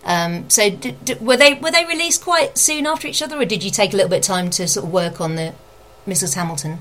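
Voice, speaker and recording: A woman's voice: medium pitch, quick pace, fairly bright tone, a little hiss or hum. A woman interviewing in a casual, chatty way, informal and encouraging with her guest.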